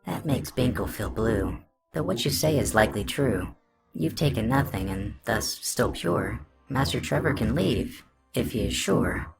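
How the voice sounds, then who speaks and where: A man's voice: medium pitch, animated, unhurried, vocal fry, over loud music, voice pushed up, rough recording. A man voicing a huge, politely menacing monster with a childlike, sing-song rhyming way of talking, for a horror story. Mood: courteous, sing-song. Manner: sing-song rhyming, speaks of itself in third person.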